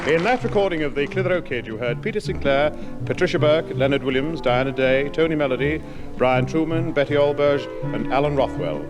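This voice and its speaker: A man's voice: a little high, a little fast, over a noisy crowd. A man reading scripted closing credits as a radio announcer. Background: theme music.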